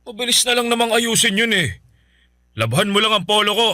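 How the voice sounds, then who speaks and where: An actor playing an irritable husband in a radio drama, speaking curtly and defensively. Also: male voice, sits high, lively intonation, fairly quick, pushed and bright, dry recording, full character voice. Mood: annoyed. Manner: curt, dismissive, defensive. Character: irritable husband dodging his wife. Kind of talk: Filipino radio drama acting.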